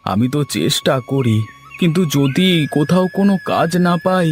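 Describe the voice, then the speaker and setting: A man's voice: fairly high pitch, big pitch swings, normal speed, faint music behind. Man acting a poor, hapless village labourer. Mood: plaintive. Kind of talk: Bengali cartoon folk-tale acting.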